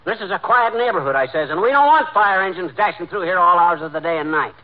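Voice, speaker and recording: An actor playing a blustering, self-important American husband in a 1940s radio comedy, boastful, slangy and excitable. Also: male voice, high-pitched, fast talker. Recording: muffled, noisy 1940s radio recording.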